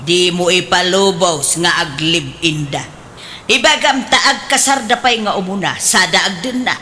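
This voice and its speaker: Exasperated pious aunt, Ilocano accent, sharp bright voice, nagging radio drama delivery, faint noise. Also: female voice, lowish voice, normal speed. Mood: reproachful, nagging. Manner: nagging, emphatic.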